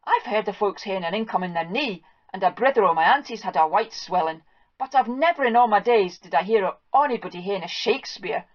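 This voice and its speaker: A woman voicing a fussy, excitable old farm wife in a Scots accent, shrill and flustered as she scolds. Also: a little fast, close to an everyday voice. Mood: fretful scolding. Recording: dull lo-fi recording.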